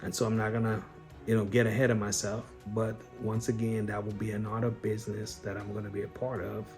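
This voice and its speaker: A man from Guyana talks straight to his viewers in a relaxed, unscripted way, stopping and restarting his sentences and filling gaps with "um". His voice sits on the low side. Faint background music plays under him. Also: brisk. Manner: unscripted, frequent fillers and restarts, addresses viewers directly. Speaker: real estate investor and business owner. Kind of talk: casual explanatory vlog talk.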